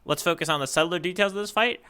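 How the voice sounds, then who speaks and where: A man speaks in a bright, clean voice that sits a little high. He sounds like a podcast co-host thinking aloud. His delivery is conversational and analytical, working through each point casually. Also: fast, clean tone. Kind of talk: casual manga discussion chat.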